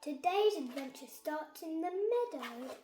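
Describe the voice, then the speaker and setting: A woman's voice: high voice, expressive, unhurried, mellow, a little hiss or hum. A young girl, about six, reading a children's story aloud with careful, sometimes stumbling delivery. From Kerala, India. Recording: echoey room.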